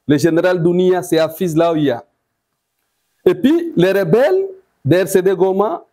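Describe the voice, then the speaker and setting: A man with a Congolese accent giving eyewitness testimony, telling it deliberately in short phrases. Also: high voice, dark tone. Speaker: testifying massacre survivor.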